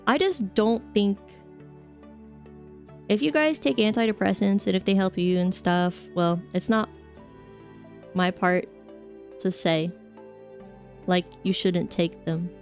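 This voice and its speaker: A woman's voice: mid-range voice, slow pace, long pauses, darkish tone, faint music behind. A young adult woman streamer chatting casually, rambling with lots of fillers and offhand jokes. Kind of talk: casual chat stream, reading chat.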